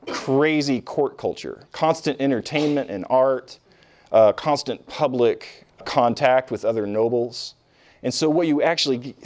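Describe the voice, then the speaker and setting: A man's voice: medium pitch, darkish tone. A middle-aged man lecturing on history in a conversational, emphatic way, with frequent fillers and restarts. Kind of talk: classroom lecture.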